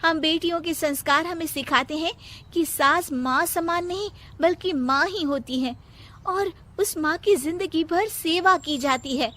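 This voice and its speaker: A woman's voice: very high voice, measured pace, sharp tone, voice pushed up, exaggerated voice. A woman voicing a dutiful, earnest young daughter-in-law in a cartoon moral story. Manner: earnest, respectful pleading.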